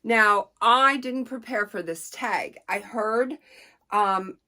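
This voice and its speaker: A middle-aged woman chatting casually to camera about books, unhurried and folksy, with a playful, expressive way of talking. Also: medium pitch. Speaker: book reviewer on a personal channel.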